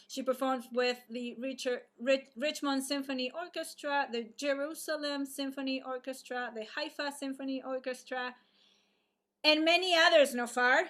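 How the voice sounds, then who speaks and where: A woman hosting an online arts event over a video call, introducing a guest in a halting way with many fillers and restarts. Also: high voice, fairly bright tone.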